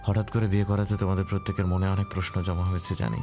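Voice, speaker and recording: A man acting a calm, dutiful elder brother and head of the family in an audio story, speaking in a measured, authoritative way. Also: deep voice. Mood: calm, earnest. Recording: music clearly playing behind.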